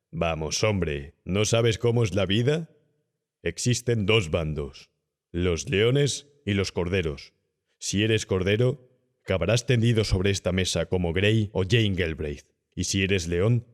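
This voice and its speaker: A man voicing a cynical, calm, domineering young gentleman body snatcher who talks persuasively and condescendingly in an audiobook horror story. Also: deep voice. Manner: smooth-talking, persuasive, condescending.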